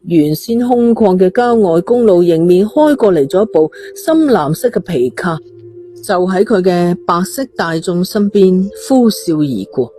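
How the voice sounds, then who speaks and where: A woman's voice: on the low side, lively intonation, measured pace, warm tone, faint music behind. A woman with a Cantonese accent reading a scripted story dramatically as an audiobook narrator.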